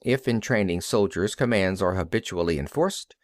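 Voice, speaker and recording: A man reading an audiobook as scripted narration, in a measured and deliberate way. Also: sits low, no echo, clean studio sound. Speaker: audiobook reader.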